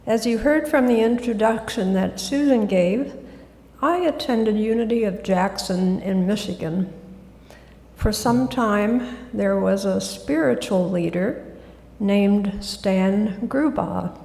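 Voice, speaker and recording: A woman giving a gentle, soft-spoken talk as a guest speaker in a church. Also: medium pitch, slow, creaky. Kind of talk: sermon talk. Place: church sanctuary. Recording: echoey hall.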